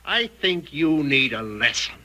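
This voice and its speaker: Forceful, controlling radio thriller husband. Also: male voice, a little high, very animated, measured pace, slight background noise, exaggerated voice. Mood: menacing. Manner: stern, clipped, imperious, insistent. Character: controlling, irritable husband feigning tender concern. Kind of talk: 1940s radio thriller acting. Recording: vintage 1940s radio recording.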